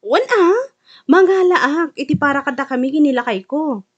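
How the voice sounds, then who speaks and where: A woman's voice: high-pitched, animated, moderate pace, highs cut off. A woman acting a friendly, chatty insurance client in an Ilocano radio drama, appreciative in manner. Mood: friendly, grateful. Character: friendly, talkative female insurance client, a wife.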